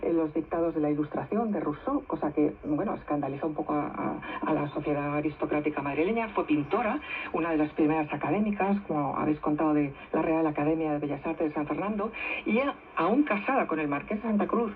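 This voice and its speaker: A woman's voice: sits low, slightly rough, narrow, tinny audio. A woman, a novelist guest explaining history in a radio interview, often ending her points with tag questions. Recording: thin shortwave radio reception.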